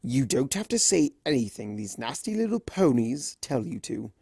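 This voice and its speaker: A sly male trickster spirit acted out by a story reader, mischievous and protective. The delivery is theatrical and drawling, swooping around in pitch like a cartoon schemer. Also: sing-song, moderate pace, creaky, warm tone, slightly rough, dry recording, studio quality, exaggerated voice. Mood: protective, disdainful.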